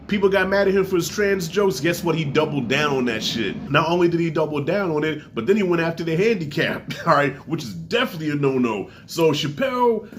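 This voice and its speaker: Congested, animated man in his forties. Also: a little hiss or hum. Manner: loose, profane and animated, with big swings in pitch. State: congested, stuffy nose. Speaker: comedy special reviewer. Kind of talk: casual spoken review to camera.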